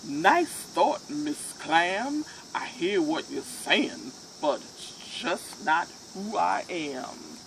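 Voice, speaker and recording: Woman as a mopey male fish, slow, low-ish, heavy sing-song chant, faint hiss behind. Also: very animated, creaky, full character voice, rough recording. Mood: glum. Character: gloomy male fish, glum and resigned.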